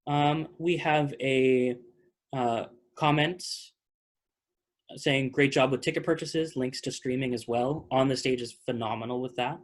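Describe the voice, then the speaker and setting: A man's voice: medium pitch, fairly even intonation, a little slow. A man hosting a post-show theater talkback over a video call, speaking conversationally and thinking aloud as he fields questions. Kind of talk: casual question-and-answer hosting.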